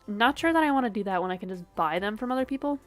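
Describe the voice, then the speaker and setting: A woman's voice: a little high, animated, rapid-fire, soft background music. A woman streamer with a sniffly, dry throat, casually thinking aloud and swearing while she plays a game. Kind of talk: casual game livestream commentary.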